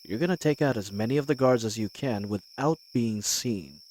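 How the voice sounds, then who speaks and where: A man's voice: medium pitch, normal speed, darkish tone, a little hiss or hum, natural voice, poor audio. An actor playing a cocky but wary male bandit leader in a fantasy audio roleplay, with a folksy, wry way of talking. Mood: hushed, commanding. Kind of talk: scripted fantasy audio roleplay, addressing the listener.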